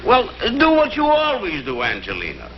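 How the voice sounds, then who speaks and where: A harried comic Italian papa, speaking exaggerated broken English in a mock accent. His voice sits high and jumps around in pitch. It is an old, dull TV recording with clear noise and audience. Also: huge pitch swings, normal speed, heavily acted. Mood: exasperated. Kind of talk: broad television sketch comedy acting. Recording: muffled noisy 1960s TV audio, audience.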